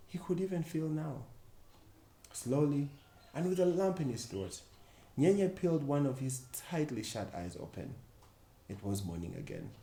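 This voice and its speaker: A man reading a children's story expressively, with dramatic pauses and emphasis. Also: mid-range voice, mellow. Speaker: volunteer storyteller at a children's book club. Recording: echoey room with faint background noise.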